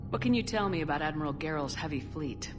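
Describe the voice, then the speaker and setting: A tough female military commander from a video game, her voice pitched low. She is direct and controlled, questioning like an officer in charge. Music is clearly audible underneath. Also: expressive, quick pace. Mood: serious, probing.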